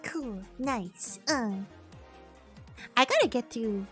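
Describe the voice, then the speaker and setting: A woman's voice: a little high, animated, slow pace. A young woman streaming and chatting casually with her viewers, bubbly and upbeat. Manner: bubbly, upbeat, with playful bits of singing. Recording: faint music behind.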